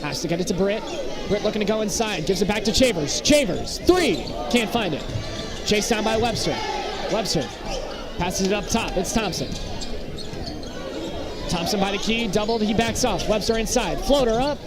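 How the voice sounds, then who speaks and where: An American man doing live basketball play-by-play in short, clipped phrases that turn excitable on big plays. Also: sits high, normal speed, long pauses. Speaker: play-by-play commentator. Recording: very loud gym crowd noise behind. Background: loud crowd and bouncing basketballs.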